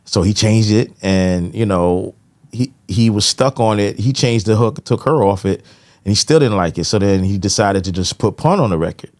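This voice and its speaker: A man's voice: lowish voice, moderate pace, no echo. A man, hosting a casual podcast and telling laid-back stories with lots of "you know what I'm saying". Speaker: podcast host and producer.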